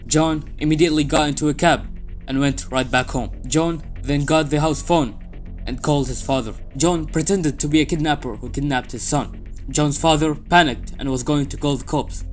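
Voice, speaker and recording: A man's voice: a little high, normal speed. A man reading a scripted story as its narrator. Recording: background music under the voice. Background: music bed.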